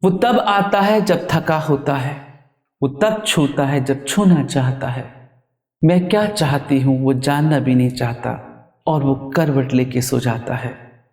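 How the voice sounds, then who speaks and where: A man reciting his own poetry in a dramatic, emotive style, with deliberate delivery. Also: mid-range voice, a little mellow. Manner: emotive, deliberate, with repeated refrains. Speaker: poet reciting own work. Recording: roomy echo, distant microphone.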